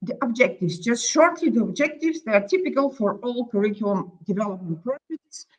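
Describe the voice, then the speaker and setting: A woman from Bulgaria with a Bulgarian accent presents a project as its coordinator, in a halting, emphatic way that repeats words. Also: a little high, lively intonation, poor audio. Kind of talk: conference presentation.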